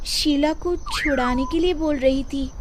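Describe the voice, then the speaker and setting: A woman's voice: high-pitched, measured pace, background noise. A woman voicing a polite young wife and daughter-in-law in a cartoon, anxious and pleading in manner. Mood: pleading, protesting. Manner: deferential, pleading.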